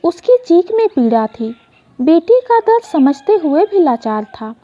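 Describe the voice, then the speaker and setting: A woman's voice: very high-pitched, sing-song, a little slow, mellow, dull audio. A woman storyteller narrating an emotional moral story dramatically.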